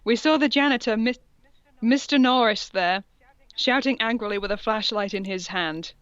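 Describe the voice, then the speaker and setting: A woman's voice: a little high, moderate pace, fairly bright tone, clean tone, no room sound, muffled recording. A woman co-hosting a comedic read-aloud, amused and breaking off for mocking asides. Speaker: co-host reading fan fiction aloud.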